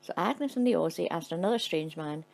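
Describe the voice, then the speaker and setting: Woman storyteller: dry, matter-of-fact narration, lowish gravelly voice. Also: lively intonation, brisk, darkish tone, soft background music. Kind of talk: scripted narration.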